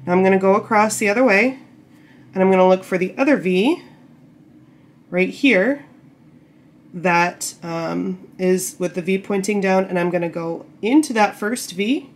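A woman getting over a cold, a bit croaky, patiently explaining knitting steps while working with her hands. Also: mid-range voice, unhurried, slight background noise. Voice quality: slightly gravelly. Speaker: knitting tutorial host. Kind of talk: casual step-by-step instruction. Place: indoor table, camera over hands.

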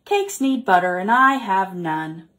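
A woman's voice: medium pitch, animated, measured pace, fairly bright tone. A woman voicing a modest male bear from a children's storybook, speaking plainly and emphatically. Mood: resigned.

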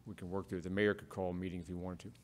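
A man explains schedules to a city council in a measured, slightly halting way. His voice sits a little low. He is heard through a table microphone in a council chamber. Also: little pitch movement, a little hiss or hum. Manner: measured, with frequent fillers and restarts. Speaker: city staff presenting to council. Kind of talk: public meeting discussion.

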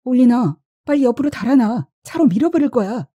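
Desperate young lover played by a woman, urgent and pleading, dark-toned, for a storybook reading. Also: fairly low pitch, fairly even intonation, normal speed, slightly rough, no room sound, lo-fi sound. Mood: alarmed. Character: desperate young man fearing for his lover.

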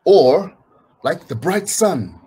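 A man's voice: on the high side, expressive, slow pace, warm tone, a little hiss or hum. A middle-aged man reading picture books aloud to children, chatting casually and encouraging them to join in. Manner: encouraging, inviting listeners to join in. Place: indoors, small home room.

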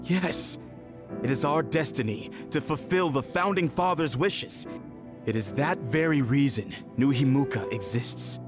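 A man plays a domineering older brother, a fanatical video game villain bent on revenge. His mid-pitched voice lectures with condescension and command, over loud dramatic music. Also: a little slow, lightly acted. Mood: fervent, forceful. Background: dramatic background music.

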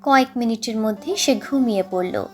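A woman narrating a literary story in a measured, dramatic scripted style. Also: a little high, faint music behind. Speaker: audiobook narrator.

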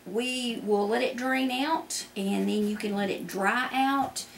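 A woman's voice: fairly high pitch, steady tone, takes it slowly, gravelly, roomy sound, a little hiss or hum. A woman giving casual, hands-on instruction as a beekeeper while she works indoors. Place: indoor kitchen.

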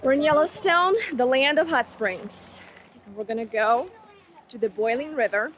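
A woman's voice: high-pitched, moderate pace, fairly bright tone, rough recording. A woman in her thirties filming a casual family travel vlog outdoors by a river, chatting and laughing easily into a handheld camera. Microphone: handheld action camera at arm's length. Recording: background music.

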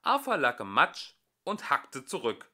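A young man reading a novel aloud as an amateur audiobook narrator, with lively shifting emphasis. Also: mid-range voice, a little slow. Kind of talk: storybook narration.

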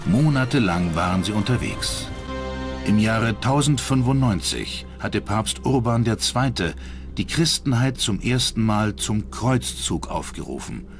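A man narrating a scripted historical documentary. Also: on the low side. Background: music.

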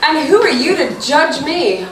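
A woman acting a sultry, vain news anchor in a stage parody. Her polished, slightly high voice comes from off-mic in an echoey theatre. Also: very animated, unhurried, background noise. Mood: sultry, self-absorbed. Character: sultry, self-absorbed female TV news anchor model. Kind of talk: live sketch comedy parody of TV news. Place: small theatre stage before an audience. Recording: echoey, distant stage recording, loud music.

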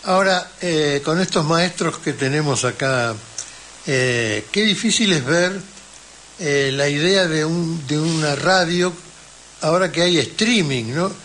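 A man's voice: a little high, unhurried, fairly bright tone, a little hiss or hum. A man with an Argentine accent hosting a casual live radio interview. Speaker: radio host.

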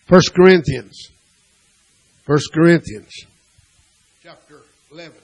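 A man preaching to his congregation in a church sanctuary, speaking slowly and deliberately with a gravelly voice that turns husky. He punctuates his points with "amen" and puts questions to the listeners. He also reads scripture aloud in old-fashioned wording. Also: fairly high pitch, lively intonation. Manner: preaching with frequent "amen" and call-and-response questions. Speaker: pastor. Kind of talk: sermon.

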